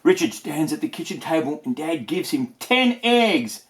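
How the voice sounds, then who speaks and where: A man's voice: fairly high pitch, very animated, moderate pace, slight background noise. A man reading a children's storybook aloud in a playful way, with chatty asides. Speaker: storybook reader. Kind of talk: animated children's read-aloud.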